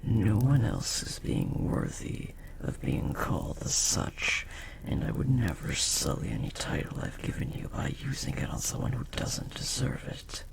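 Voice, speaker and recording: Guy doing a devoted demon servant, really slow n breathy, theatrical formal talk, gravelly, noisy ambience behind. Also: on the low side, very animated, heavily acted. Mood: devoted, hushed. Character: powerful male demon bound to a sorcerer master, devoted, possessive. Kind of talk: dramatic audio roleplay monologue. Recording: clear background noise, ambience.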